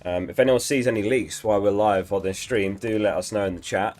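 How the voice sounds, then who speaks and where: Male live-stream host, slightly lost voice, low register, casual and chatty. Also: fairly even intonation, fairly quick, darkish tone, soft background music. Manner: reads out chat messages, chatty and upbeat. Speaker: livestream host.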